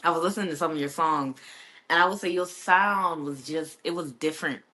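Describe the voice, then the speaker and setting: A woman's voice: deep voice, fairly quick, pushed and bright. A woman hosting a podcast, chatting casually with her guest and leaning often on "like". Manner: chatty, filled with "like". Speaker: podcast host.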